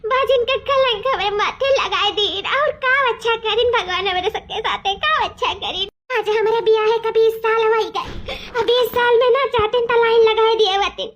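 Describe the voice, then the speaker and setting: बहुत पतली आवाज़ वाली रोती-बिसूरती देहाती कार्टून बहू. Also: female voice, extremely high pitch, muffled recording. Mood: bitter, tearful. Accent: eastern Uttar Pradesh. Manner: plaintive, wailing, repetitive lamenting. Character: tearful, aggrieved village daughter-in-law in a cartoon. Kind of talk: melodramatic comedy cartoon dialogue.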